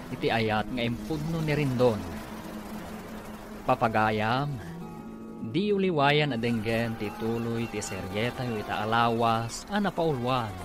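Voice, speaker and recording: A man with an Ilocano Filipino accent delivering dramatic scripted narration as a radio drama announcer. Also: medium pitch.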